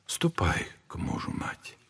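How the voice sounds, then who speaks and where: An actor playing an old monastery elder in an audio drama, solemn and consoling like a preacher, with a husky voice. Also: male voice, takes it slowly, bright, forceful tone. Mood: gentle admonishing.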